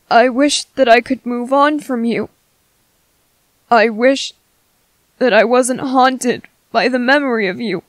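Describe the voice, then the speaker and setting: A woman playing a gentle, curious young merboy in an ASMR roleplay, hesitant and musing to himself. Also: fairly high pitch, no echo. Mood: tender, sorrowful. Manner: hesitant, musing to self, repeating words. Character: gentle, curious young merboy, soft-hearted and easily flustered.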